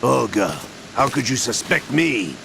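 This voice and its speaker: A gravelly, sly older villain. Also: male voice, mid-range voice, big pitch swings, background noise, full character voice. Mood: smooth, menacing. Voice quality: gravelly, drawling. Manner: measured, theatrical, menacing. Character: sly older male Russian villain, coolly scheming gunslinger agent. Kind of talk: video game cutscene acting.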